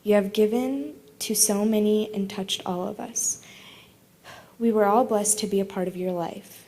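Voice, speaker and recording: A woman's voice: medium pitch, measured pace, mellow. A woman reading a written personal tribute aloud at a memorial service. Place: memorial service in a room full of people.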